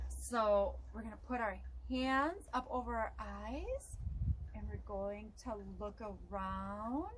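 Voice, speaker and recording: A woman librarian leading a virtual story time for young children, reading aloud and guiding them through motions gently. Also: a little high, slight background noise, low-quality recording. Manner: gentle, slow, instructive with children.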